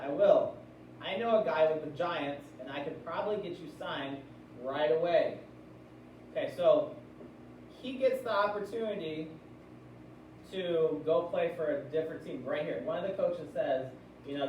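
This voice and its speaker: Echoey, higher-voiced American man teaching. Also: dull audio. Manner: explanatory, often checking in with listeners with questions. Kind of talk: classroom read-aloud with discussion questions. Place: classroom. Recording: very echoey room, distant mic, noisy.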